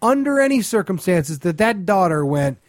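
A man's voice: high-pitched, very animated, normal speed, dry recording, clean studio sound. A man co-hosting a podcast in casual comedy chat, ranting with crude, sarcastic scorn. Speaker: podcast co-host.

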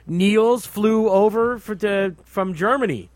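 A man's voice: sits high, slow, dry recording. A man hosting a radio show, chatting casually with opinions in a blunt, repetitive way. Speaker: radio show host and comedy night host.

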